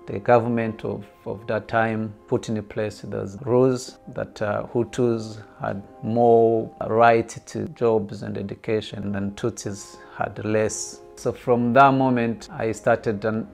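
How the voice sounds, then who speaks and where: A middle-aged man from Rwanda giving quiet, hesitant documentary testimony, often repeating words. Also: fairly low pitch, little pitch movement, slow, gravelly, few pauses, soft background music. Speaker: genocide survivor interviewee. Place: indoor living room.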